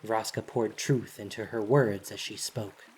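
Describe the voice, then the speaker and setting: A narrator with a husky, breathy voice reads a dramatic story in measured, solemn, deliberate phrases. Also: mid-range voice, fairly even intonation, darkish tone.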